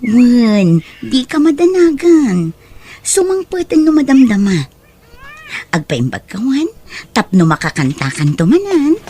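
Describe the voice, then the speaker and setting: An actress playing a greedy, scheming aunt in an audio drama, speaking with an Ilocano Filipino accent in an exclamatory, theatrical way. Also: female voice, fairly high pitch, sing-song, a little slow, pauses often, dark tone, breathy edge, heavily acted. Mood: placating. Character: greedy, scheming aunt exploiting her singing nephew.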